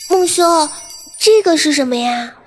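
Woman as a high-pitched, curious young fish-spirit maiden. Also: animated, moderate pace, faint music behind. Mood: delighted, inquisitive. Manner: playful, naively inquisitive. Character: curious, innocent young carp-spirit maiden in human form. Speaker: voice actress. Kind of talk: audio drama acting.

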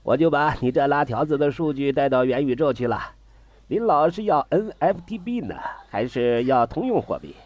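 A man playing a chatty, courteous trader in an audio drama, wheedling in colloquial, drawn-out sales patter with a slightly husky voice. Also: mid-range voice, lively intonation, a little mellow, no room sound, lo-fi sound, lightly acted. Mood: courteous persuasion. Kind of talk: Chinese audio drama dialogue.

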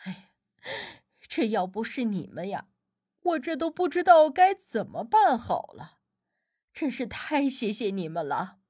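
A woman's voice: a little high, very animated, measured pace, darkish tone, breathy edge, no echo, clean studio sound, exaggerated voice. A woman voicing a doting, easily flustered grandmother in an audiobook, with gushing, exclamatory delivery. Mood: tearful gratitude.

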